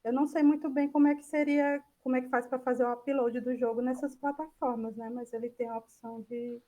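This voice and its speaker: A Brazilian woman speaks in a fairly high register while leading an informal online talk. She thinks aloud with some hesitation, walking listeners through a program and fielding their questions. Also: fairly even intonation, fairly quick, warm tone. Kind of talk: informal software demo, answering viewer questions.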